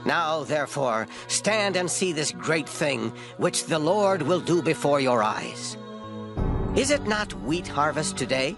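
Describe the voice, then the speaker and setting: Old prophet, sweeping, solemn oratory. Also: male voice, a little high, lively intonation, slow pace, music in the background. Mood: solemn, stern. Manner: declamatory, grave. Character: old gray-headed prophet, solemn, stern and commanding. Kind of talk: dramatized scripture reading, oratory.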